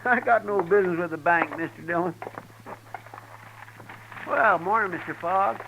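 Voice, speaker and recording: A folksy, plaintive frontier deputy with a rather high drawl, talking over the steady hiss of an old radio recording. He sounds loyal and a bit puzzled. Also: normal speed, gravelly, dull audio. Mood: wondering. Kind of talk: 1950s radio drama acting.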